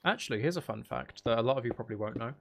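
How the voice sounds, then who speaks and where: A man with a creaky, fry-laden voice at an ordinary male pitch talks casually on a gaming livestream. He rambles and hesitates, thinking out loud as he goes. Faint noise and clicks sit behind him. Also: animated, racing. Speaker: streamer.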